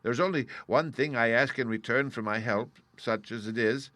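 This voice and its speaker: Guy doing a sheepish old fake wizard, bright and crisp, super dry sound. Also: mid-range voice, moderate pace, dry recording, lightly acted. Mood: confiding. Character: humbug wizard, older man, meek and apologetic.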